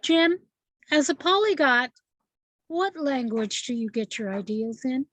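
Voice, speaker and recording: High-voiced woman moderating, dry sound. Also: lively intonation, unhurried, fairly bright tone, no room sound. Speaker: session moderator. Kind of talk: moderating audience questions in an online meeting. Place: online video meeting.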